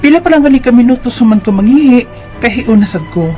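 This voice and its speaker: A woman's voice: on the high side, lively intonation, quick pace, dark tone, dull audio, soft background music, natural voice. A woman with a Cebuano Bisaya accent playing a tired, worried teenage schoolgirl who complains and questions in a radio drama. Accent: Cebuano Bisaya Filipino.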